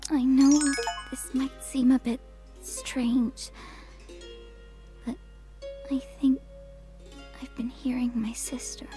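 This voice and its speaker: A woman plays a shy young girl in a visual novel, troubled and unsure of herself. The voice is high and mellow, with soft, breathy, hesitant speech that comes very slowly. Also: little pitch movement, faint music behind. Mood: troubled, confused. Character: timid, troubled young girl, polite and uncertain. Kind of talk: visual novel dialogue read aloud.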